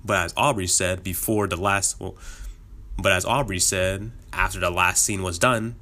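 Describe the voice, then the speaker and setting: Chatty laughing podcast host, lowish voice. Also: male voice, steady tone, normal speed, bright, forceful tone. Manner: upbeat, chatty, laughs often. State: slightly sick, voice a bit lower. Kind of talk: casual podcast outro.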